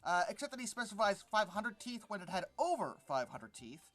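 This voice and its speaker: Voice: mid-range voice, big pitch swings, a little fast, fairly bright tone. A video host giving informal critique commentary in an exasperated, sarcastic and dismissive way. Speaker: host of a paleontology commentary channel.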